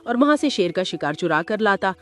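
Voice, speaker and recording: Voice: medium pitch, very animated, brisk, heavy background noise. A narrator telling a scripted moral story for a cartoon. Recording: loud music under the voice.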